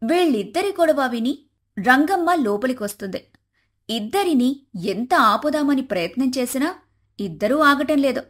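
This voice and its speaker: High-pitched woman storyteller. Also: animated, moderate pace. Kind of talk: scripted moral-story narration for animation.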